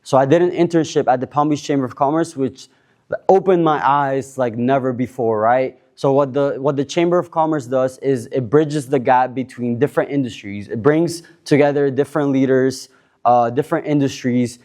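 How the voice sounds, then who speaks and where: Relaxed young man introducing himself. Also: mid-range voice, moderate pace. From Cuba. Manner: relaxed, rambling, many fillers and restarts. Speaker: panel speaker. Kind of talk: casual self-introduction at a panel.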